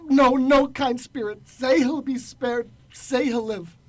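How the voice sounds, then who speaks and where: A man's voice: extremely high pitch, slow pace. A man playing a frightened old miser in a radio drama, desperate and imploring. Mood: pleading.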